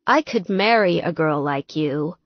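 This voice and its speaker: Woman voicing a clingy, lovesick young man, swooping pitch. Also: lively intonation, measured pace, no echo, lo-fi sound. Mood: smitten.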